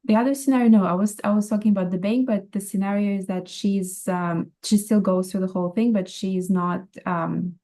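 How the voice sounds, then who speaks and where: Very mellow woman, thinking aloud. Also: medium pitch, steady tone, brisk, few pauses. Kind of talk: casual discussion, explaining an idea.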